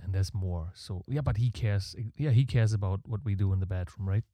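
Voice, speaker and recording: A man's voice: lowish voice, fairly quick, mellow, no room sound. A man with a German accent hosting his own podcast, rambling and opinionated, with his breathing audible on the mic. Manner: rambling, opinionated, self-deprecating. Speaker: podcast host. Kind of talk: casual solo podcast monologue. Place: home setup in Canada. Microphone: close podcast microphone.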